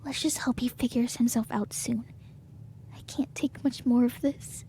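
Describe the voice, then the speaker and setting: Woman acting a high-voiced, curious younger sister. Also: fairly even intonation, moderate pace, warm tone, in a whisper, close to an everyday voice. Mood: concerned. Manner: inquisitive, familiar. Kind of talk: period audio drama acting.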